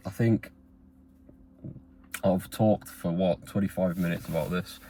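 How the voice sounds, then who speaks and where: A young man in his twenties with a very deep, low voice. He talks to camera in an earnest, rambling way, with fillers and restarts. The tone is a motivational monologue. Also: fairly even intonation, unhurried, dark tone, slightly rough, poor audio. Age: 20s. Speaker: vlogger. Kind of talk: motivational talking-head monologue.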